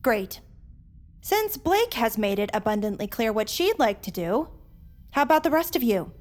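A woman's voice: fairly high pitch, very animated, moderate pace, faint music behind. An actress playing a determined, bossy, sarcastic teenage girl in an audio drama. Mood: insistent.

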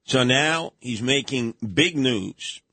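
A man co-hosting a morning talk show, asking his guests questions in a brisk, conversational manner. His voice sits in a middle range. It is recorded dry and close to the mic. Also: mid-range voice, bright, forceful tone, no echo. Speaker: radio show co-host. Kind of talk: casual radio talk.

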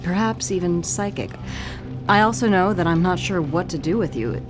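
An actress playing a weary, no-nonsense police detective in her thirties, blunt, deliberate and guarded. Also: on the low side, expressive, music in the background, natural voice. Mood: guarded, frank.

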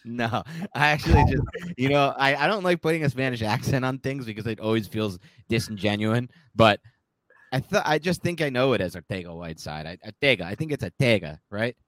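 A man's voice: medium pitch, lively intonation, brisk, fairly bright tone, no echo. A man co-hosting a sports podcast, giving casual, opinionated analysis in a rambling, self-correcting way.

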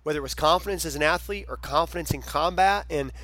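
A man's voice: a little high, fast talker, fairly bright tone, no room sound. A man hosting a podcast, enthusiastic and emphatic as he reacts to his guest and asks questions. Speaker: podcast host. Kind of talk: casual interview talk.

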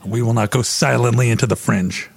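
A voice actor goofing around between takes, playful and joking. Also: male voice, mid-range voice, fairly even intonation, a little fast, creaky, slight background noise. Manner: playful, jokey, swings between takes and banter.

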